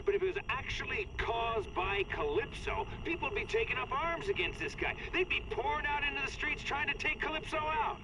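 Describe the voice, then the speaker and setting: A sceptical radio talker in a game, exasperated and argumentative. His highish voice swings about in pitch and sounds distant, with clear noise around it. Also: dull audio. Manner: argumentative, rhetorical, scoffing. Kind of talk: talk radio acting in a game.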